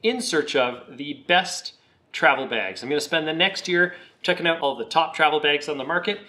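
Guy w a bit of a croak from a cold, chatty backpack review, sits a little high. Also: normal speed. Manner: relaxed, chatty, with some fillers. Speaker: product reviewer. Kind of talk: casual product review.

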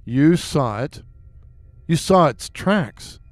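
A man putting on a deep, hollow forest stranger. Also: expressive, no room sound. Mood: warning. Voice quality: deep, hollow, distant-sounding. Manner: slow, solemn warnings. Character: towering grim male forest stranger, ominous.

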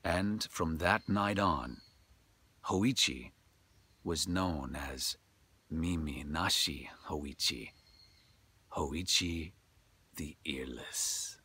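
A man's voice: very deep voice, extremely slow, pauses often, pushed and bright, slight background noise. A middle-aged man who works as a storyteller, talking and narrating in a dramatic way. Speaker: storyteller, voice actor. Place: outdoors in a forest.

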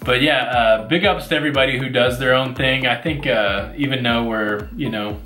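A man in his forties with a bright, forceful voice, chatting casually and circling his points with plenty of "uh"s. The sound is roomy and echoey, with faint music underneath. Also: mid-range voice, moderate pace. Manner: rambling, many "uh" fillers, self-deprecating. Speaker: vlog co-host. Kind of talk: casual chat. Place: indoors, seated before a virtual backdrop.

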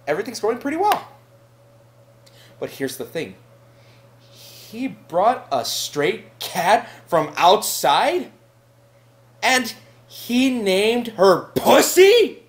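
A man's voice: a little high, sing-song, unhurried. A man playing an irritable young roommate in a mockumentary comedy sketch, whiny, exasperated and emphatic. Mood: fed up.

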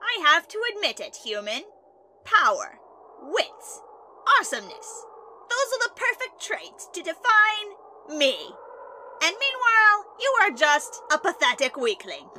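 A woman's voice: very high-pitched, big pitch swings, a little slow, pushed and bright, a little hiss or hum, heavily acted. A woman voicing a boastful, haughty, theatrical male skeleton villain in a cartoon comic dub. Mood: haughty, triumphant. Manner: pompous, haughty.

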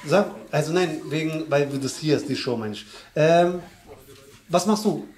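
A man in his thirties doing loud, teasing crowd work at a live stand-up show, slangy and laughing often, into a handheld mic. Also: on the high side, unhurried. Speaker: stand-up comedian. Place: small comedy club stage with audience. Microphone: handheld stage mic. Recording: echoey club with audience noise.

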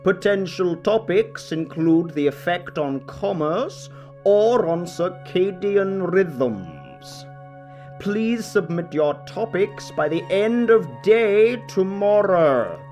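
A man's voice: high voice, expressive, a little mellow, soft background music. A man voicing a formal teacher announcing a class assignment. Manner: formal, deliberate.

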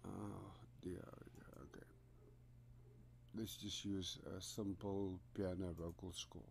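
A man with an Afrikaans accent talks slowly through a software demo, his voice sitting a little low. He hesitates often, restarting phrases as he goes. A faint hum sits under the home-studio recording. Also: level tone, gravelly. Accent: South African Afrikaans. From South Africa. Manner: rambling, hesitant, many fillers and restarts. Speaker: composer giving a software tutorial. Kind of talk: casual screen-recorded software walkthrough.

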